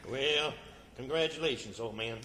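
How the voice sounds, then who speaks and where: Forceful, exasperated country schemer played by a man. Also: mid-range voice, normal speed, natural voice. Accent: rural Southern American. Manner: grumbling, sarcastic, folksy. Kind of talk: old-time radio comedy acting. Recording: stage performance in reverberant hall.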